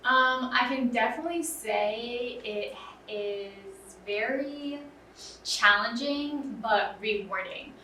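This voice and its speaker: Young woman, bright voice, earnest, lots of fillers, echoey room, away from mic. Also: a little high, takes it slowly, far from the mic, a little hiss or hum. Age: young adult. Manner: earnest, filler-heavy with frequent "um" and "you know". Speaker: interview guest, student. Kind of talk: casual interview talk. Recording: roomy, off-mic with faint ambience.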